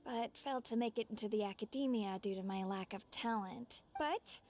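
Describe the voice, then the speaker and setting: A woman's voice: on the high side, normal speed, a little mellow, slight background noise, lightly acted. A woman voicing an earnest, polite young female student in a game.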